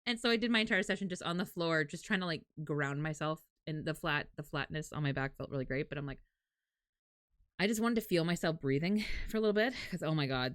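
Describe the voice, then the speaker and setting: A woman's voice: deep voice, no room sound, clean studio sound. A woman chatting casually as a livestreamer, candid and freely swearing, telling things in a dramatic, self-deprecating way. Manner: animated, dramatic storytelling.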